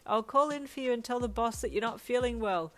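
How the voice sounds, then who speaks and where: A woman's voice: lowish voice, brisk, natural voice. A woman voicing a doting, cheerful boyfriend in a romance story, tender and eager. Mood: cheerful, excited. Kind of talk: character voice in romance story reading.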